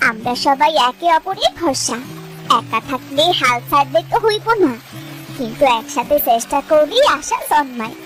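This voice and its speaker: A woman's voice: high-pitched, lively intonation, normal speed. A woman voicing a kind, helpful young village woman in a cartoon, with an eastern Bengali rural dialect and a caring, encouraging manner. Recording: loud music behind the voice.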